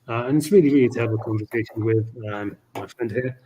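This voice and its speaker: A man's voice: medium pitch, quick pace, warm tone, a little hiss or hum, low-quality recording. A man hosting a casual video chat, friendly and rambling, filling his sentences with ums. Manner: friendly, filler-heavy, rambling. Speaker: host. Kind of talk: casual conversation.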